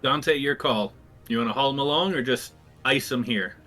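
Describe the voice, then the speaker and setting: Brief: male player, bright tense voice, casual table talk, faint music behind. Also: mid-range voice, normal speed.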